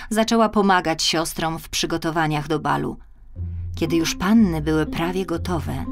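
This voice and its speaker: A woman narrating a children's fairy tale as an expressive audiobook storyteller. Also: mid-range voice.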